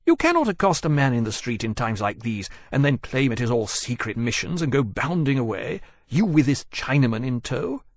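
A man voicing a belligerent, half-drunk gentleman who speaks indignantly in a raised, clipped voice. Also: medium pitch, sing-song, normal speed, few pauses, no echo, lightly acted. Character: belligerent tipsy suspicious gentleman. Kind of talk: audiobook character voice. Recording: dry close mic.

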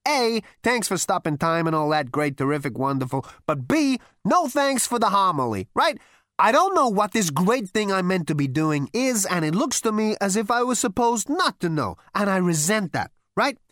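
A brash young man, as a woman reads him out, rants in emphatic, slangy bursts. His voice swings lively up and down. The sound is dry and close. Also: medium pitch, dry recording, pitched above the performer's own voice. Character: brash, cocky young man, ex-president, exasperated. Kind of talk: audiobook character voice.

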